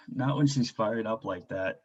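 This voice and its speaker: Man acting husky, worried father, muffled audio. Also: lowish voice, takes it slowly. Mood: resigned, desperate. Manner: pleading, earnest. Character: worried, frustrated stay-at-home father and husband. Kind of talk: staged reading of a contemporary drama.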